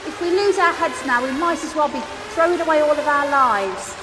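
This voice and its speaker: A woman playing a brisk, level-headed crew officer in an audio drama, urgent and authoritative. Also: sits high, natural voice. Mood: firm. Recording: echoing hall, noisy ambience.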